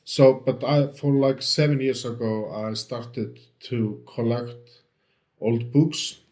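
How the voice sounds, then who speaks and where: Slow, hesitant Icelandic man. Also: mid-range voice, fairly even intonation, darkish tone. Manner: hesitant, many fillers and restarts. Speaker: interview guest, book restorer. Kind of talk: casual interview answers. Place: home.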